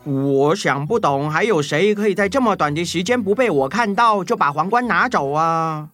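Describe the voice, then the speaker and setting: A man's voice: high-pitched, expressive, moderate pace, a bit bright. A man voicing a flustered, apologetic, earnest character in a children's audio story, hesitant and given to exclaiming. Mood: puzzled.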